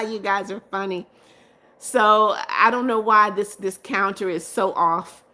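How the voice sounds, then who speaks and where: Casual: middle aged woman on a livestream reading comments out loud and chatting back, laughs a lot. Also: mid-range voice, fairly even intonation, slow pace. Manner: reads comments aloud and answers viewers warmly, laughs often. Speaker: livestream host.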